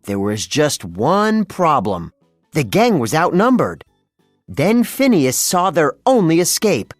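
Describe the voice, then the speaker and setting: Storybook narrator reading for kids, takes it slow, really animated, dry close sound. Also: medium pitch, no room sound, faint music behind. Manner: expressive, storytelling delivery. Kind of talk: scripted children's read-along narration.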